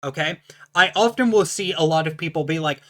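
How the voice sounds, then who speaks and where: Host laying down the rules, bright sharp voice, powerful and distinct, blunt and emphatic. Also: medium pitch, fairly quick. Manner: emphatic, lecturing, blunt rule-setting. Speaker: channel host reviewing a book. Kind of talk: casual explanatory talk to camera.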